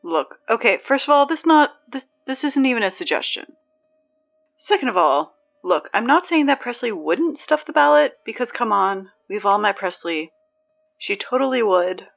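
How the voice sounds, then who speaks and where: Woman acting as sarcastic school announcer, dry, exasperated, emphatic, medium pitch, dull lo-fi sound, music underneath, fiction podcast. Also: lively intonation, normal speed, vocal fry, a bit bright, heavily acted.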